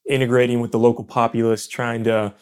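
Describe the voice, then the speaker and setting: A man's voice: medium pitch, little pitch movement, fairly quick, a little mellow, clear, resonant tone. A man hosting a casual interview, asking long, knowledgeable questions about military life. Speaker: podcast host.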